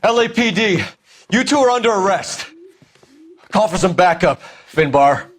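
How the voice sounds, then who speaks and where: Earnest American detective, widely varying pitch. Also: male voice, lively intonation, measured pace. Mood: commanding. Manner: clipped, serious, direct. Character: earnest, by-the-book young male police detective. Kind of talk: video game acting, 1940s crime drama.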